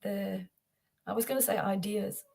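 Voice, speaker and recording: A woman's voice: mid-range voice, fairly even intonation, moderate pace, creaky, a little mellow. A woman hosting a devotional livestream, talking informally and repetitively to viewers, with many fillers and restarts, addressing them by name. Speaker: host of a devotional livestream gathering.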